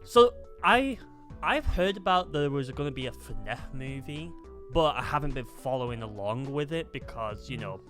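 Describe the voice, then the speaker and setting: Halting mid-pitched man in casual discussion. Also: animated, a bit bright. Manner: halting, repeats words as he goes. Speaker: podcast co-host. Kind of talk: casual chat about video game films. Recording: music behind the voice.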